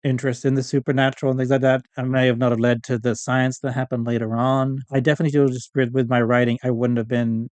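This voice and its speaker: A man's voice: mid-range voice, level tone, fast talker, few pauses, darkish tone, no room sound. A man speaking as a podcast guest in casual interview chat, with a rambling, easygoing manner. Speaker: writer.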